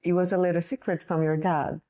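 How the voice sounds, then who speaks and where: A woman's voice: on the low side, level tone, a little fast, mellow. A young woman who is a native Greek speaker hosts a casual podcast interview in English, chatty and upbeat as she steers the questions. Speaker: podcast host.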